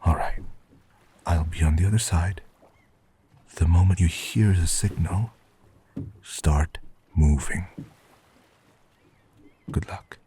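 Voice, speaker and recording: A man plays a gruff, cautious accomplice in an audio drama, over clear background noise. His voice is deep and dark, hushed and unhurried as he gives terse instructions. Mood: urgent, hushed. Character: gruff, cautious male accomplice planning a covert break-in.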